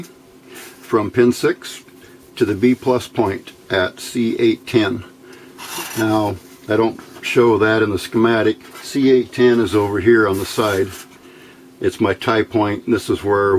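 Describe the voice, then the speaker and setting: A man's voice: fairly low pitch, fairly even intonation, measured pace, a little hiss or hum. A man giving an informal hands-on electronics tutorial, thinking aloud as he works. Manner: unscripted, thinking aloud while working. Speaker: electronics hobbyist instructor.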